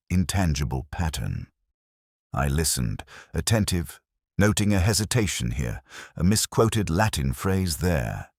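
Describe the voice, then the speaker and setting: Male narrator, very deep pitch, lively intonation, measured literary delivery, first-person mystery story, dry close sound. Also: dry recording.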